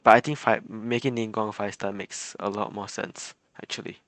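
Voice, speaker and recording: A man's voice: lowish voice, flat delivery, normal speed, fairly bright tone, dry recording. A man doing casual livestream game commentary, rambling and hesitant as he thinks aloud. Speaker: game streamer.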